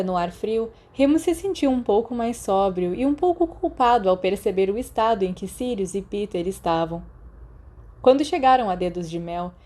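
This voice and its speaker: Mellow Brazilian woman reading a story. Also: medium pitch. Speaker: audiobook narrator of a fan fiction podcast. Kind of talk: scripted narration, storybook reading.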